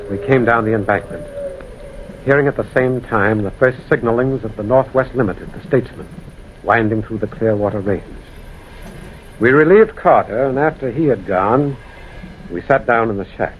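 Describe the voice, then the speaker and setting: An American actor playing a sober, skeptical railroad man in an old-time radio ghost drama, measured, grave and dramatic. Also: mid-range voice, narrow, tinny audio, natural voice. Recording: thin, noisy vintage radio recording.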